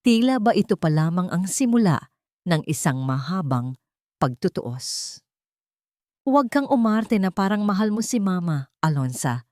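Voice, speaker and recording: A woman's voice: fairly low pitch, a little mellow, no room sound, studio quality. A woman narrator reading a scripted romantic drama in a solemn, emotive storytelling style.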